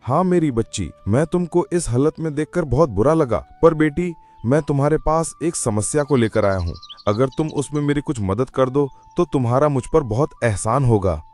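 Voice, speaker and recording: A man voicing a wise old male bear elder in a Hindi cartoon, calm and fatherly. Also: mid-range voice, moderate pace, few pauses, faint music behind, close to an everyday voice. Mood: gentle. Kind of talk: cartoon voice acting.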